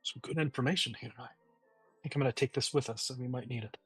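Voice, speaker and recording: A man's voice: mid-range voice, quick pace, fairly bright tone, breathy edge. A man playing at a tabletop game, talking casually and enthusiastically. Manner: enthusiastic, effusive. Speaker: player. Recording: dry mic with faint music behind.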